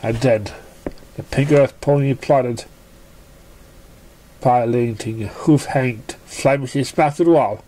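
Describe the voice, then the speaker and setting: Slow, halting storybook narrator. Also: medium pitch, dark tone, a little hiss or hum. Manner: halting, stumbling reading.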